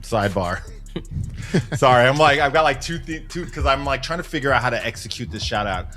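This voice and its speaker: A man's voice: medium pitch, animated, normal speed, bright, forceful tone, faint music behind. A man co-hosting a podcast, talking in a casual conversational style. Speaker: podcast co-host. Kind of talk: casual chat.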